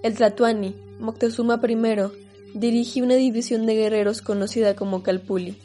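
Mellow woman narrating a radio drama. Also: on the high side, steady tone, fairly quick, soft background music.

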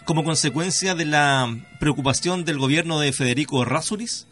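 Bright, higher-pitched Chilean male radio host. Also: brisk, faint music behind. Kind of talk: conversational radio interviewing.

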